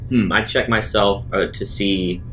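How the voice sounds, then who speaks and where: A man's voice: fairly low pitch, fairly even intonation, unhurried, bright, forceful tone, faint music behind. A man improvising in character as a player in a tabletop roleplaying game.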